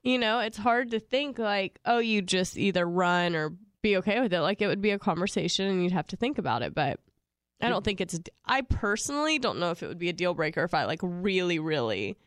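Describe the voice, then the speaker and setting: A woman's voice: lowish voice, animated, fairly quick, hardly any pauses, clean tone, dry recording, clean studio sound. A young woman co-hosting a podcast. She talks casually and rambles with lots of fillers, and she is sniffling from allergies. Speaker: podcast co-host. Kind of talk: casual chat.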